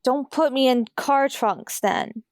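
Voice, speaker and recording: A woman's voice: high voice, takes it slowly, no room sound, clean studio sound. A woman playing a blunt, questioning lost child in a tabletop game. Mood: contrary.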